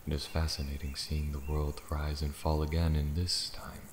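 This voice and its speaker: An ancient dragon in elven male disguise, acted by a man, speaks in an extremely deep voice. He is proud, amused and deliberate, with theatrical fantasy ASMR phrasing. The sound is roomy and echoing, with faint crickets and nature ambience behind. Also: little pitch movement, slight background noise. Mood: calm, wry. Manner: slow, deliberate, theatrical, breathy near-whispers at times.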